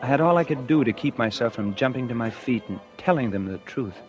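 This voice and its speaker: A man's voice: medium pitch, expressive, fairly quick, natural voice. An actor playing a guilt-ridden murderer who narrates his own downfall in a 1940s radio drama. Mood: brooding, tense. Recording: 1949 radio recording with music.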